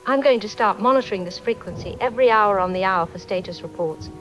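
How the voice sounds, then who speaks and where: An actress playing a calm, watchful radio operator giving measured, procedural reports in an audio drama. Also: female voice, mid-range voice, faint music behind, lightly acted, low-quality recording. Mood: resolute.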